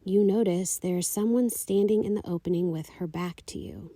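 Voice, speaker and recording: A woman leading a guided meditation, giving calm, soothing, unhurried instructions. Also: lowish voice, no echo. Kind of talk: guided meditation, slow scripted narration.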